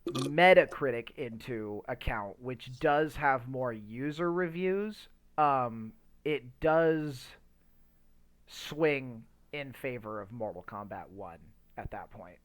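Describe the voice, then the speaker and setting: Animated man rambling through podcast opinions. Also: mid-range voice. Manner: rambling, halting search for words. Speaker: podcast host. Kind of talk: casual chat about movies.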